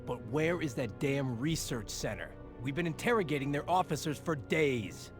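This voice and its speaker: An actor playing an impatient army general in a war video game, blunt, clipped and demanding. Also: male voice, on the high side, lively intonation, normal speed, music in the background, lightly acted. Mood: impatient, skeptical. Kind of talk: video game cutscene acting.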